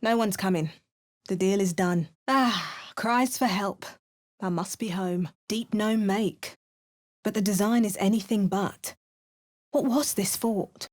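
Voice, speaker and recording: A wry adventurer with a put-on Cockney lilt. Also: female voice, mid-range voice, slow. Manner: dry, muttering asides. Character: female adventurer hero, dry and wry. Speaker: player character. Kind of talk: video game voice lines.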